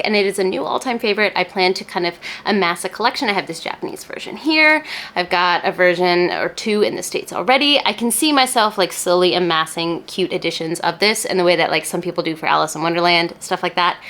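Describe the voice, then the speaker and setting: A woman's voice: medium pitch, a bit bright. A woman chatting casually to camera as a book reviewer, enthusiastic and emphatic, with informal phrasing and casual swearing.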